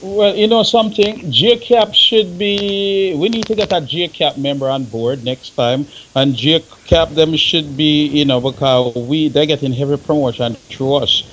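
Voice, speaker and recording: A man's voice: a little high, fairly even intonation, unhurried, hardly any pauses, fairly bright tone, slight background noise, low-quality recording. A man with a Jamaican accent explaining things emphatically in casual panel talk, repeating phrases for stress.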